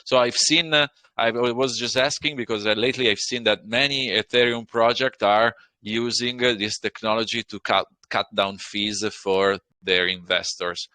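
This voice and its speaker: A man's voice: mid-range voice, fairly even intonation, normal speed, hardly any pauses, pushed and bright, no room sound. A man moderating an online AMA as community host, polite and rambling with fillers.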